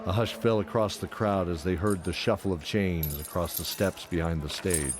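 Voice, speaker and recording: A man's voice: deep voice, moderate pace. A man narrating a scripted fantasy audio drama. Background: loud music.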